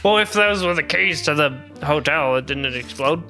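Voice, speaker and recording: A man's voice: fairly high pitch, animated, normal speed, bright, forceful tone, faint music behind. A man chatting casually as a player on a comedy game podcast. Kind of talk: casual chat.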